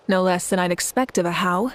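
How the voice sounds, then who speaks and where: An actress playing a confident, composed female leader in a fantasy video game, wry and measured. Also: lowish voice, a little hiss or hum, natural voice. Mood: amused, wry. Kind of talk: video game character acting.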